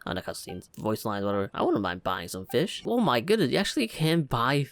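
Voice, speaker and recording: A man's voice: mid-range voice, expressive, fairly quick. A man giving casual, joking, slangy reaction commentary over gameplay. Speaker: gaming video creator. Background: game music.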